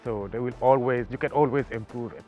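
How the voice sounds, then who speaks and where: A man from Senegal with a Senegalese accent, talking haltingly about his art in a documentary interview, with many pauses and fillers. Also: medium pitch, lo-fi sound, faint music behind. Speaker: artist.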